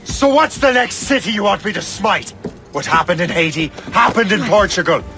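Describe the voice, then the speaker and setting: A man's voice: high-pitched, lively intonation, moderate pace. A man playing a hot-blooded, brooding adventurer assassin in a dramatic video game cutscene. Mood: outraged, accusing. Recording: orchestral music behind the voice.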